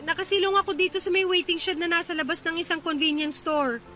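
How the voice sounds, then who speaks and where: A woman's voice: very high-pitched, steady tone, quick pace, bright, forceful tone. A woman playing a young woman stranded at night after a bus cut its route, complaining in exasperation in a radio drama. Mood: exasperated.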